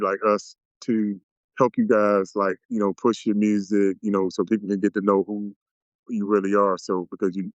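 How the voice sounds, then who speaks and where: Male podcast host, fairly low smooth voice, supportive and halting; dull dry sound. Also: no room sound. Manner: supportive, halting with many fillers. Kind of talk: casual interview chat. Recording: dull, dry close-mic audio.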